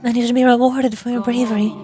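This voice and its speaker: A woman's voice: a little high, little pitch movement, fast, mellow, close to an everyday voice. A woman voicing a solemn, all-knowing ancient ruler from a fantasy game, reading formal explanatory dialogue aloud.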